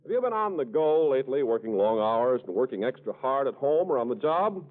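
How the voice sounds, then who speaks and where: A man's voice: medium pitch, big pitch swings, a little fast. A man announcing and reading an advertisement in 1940s American radio style. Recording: muffled, slightly noisy 1940s radio recording.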